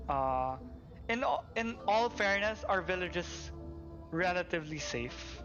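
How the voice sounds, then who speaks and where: A man chatting casually as a live game streamer, in an excitable, effusive way that repeats itself. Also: high voice, a little slow, lo-fi sound. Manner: excitable, repeats thanks, chuckles often. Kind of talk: casual livestream chat. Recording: game music behind. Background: game music and character voices.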